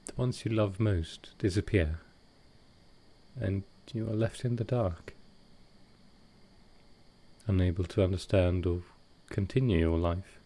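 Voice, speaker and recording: A man playing a gentle, grieving vampire lord in an intimate audio roleplay, speaking tenderly and with some hesitation. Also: low voice, slow pace, long pauses, exaggerated voice. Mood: sorrowful, reflective. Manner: soft-hearted, hesitant, tender.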